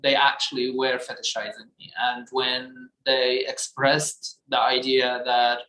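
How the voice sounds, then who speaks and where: A man, earnestly recounting his own life story in a measured way. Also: mid-range voice, flat delivery, sharp tone. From Iraq. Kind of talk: personal testimony. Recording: roomy echo.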